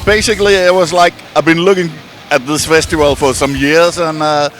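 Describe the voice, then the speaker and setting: A man's voice: on the high side, fairly even intonation, normal speed, a bit bright, faint music behind. A man from Denmark, a touring musician, speaking plainly in an outdoor street interview. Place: outdoor parking lot.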